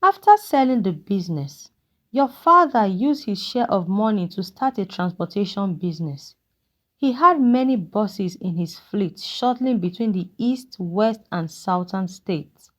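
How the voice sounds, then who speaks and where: A woman voicing a kindly, regretful old man who talks in a measured, fatherly way in a narrated folktale. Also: medium pitch, animated, natural voice. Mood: reminiscing, regretful. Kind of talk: character dialogue in a narrated folktale.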